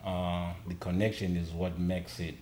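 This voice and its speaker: A man's voice: low-pitched, flat delivery, a little slow, mellow, reverberant, slight background noise. A middle-aged man from Zimbabwe, talking earnestly as a podcast guest and repeating key phrases for emphasis. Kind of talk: casual conversation.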